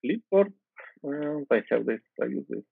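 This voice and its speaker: A man's voice: medium pitch, expressive, moderate pace, vocal fry, husky, dry recording. A man whose first language is Ukrainian, in his thirties, walking through his code on a video call, thinking aloud with fillers and restarts. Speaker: guest software developer. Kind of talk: casual screen-share coding chat. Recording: tinny phone-like video call audio.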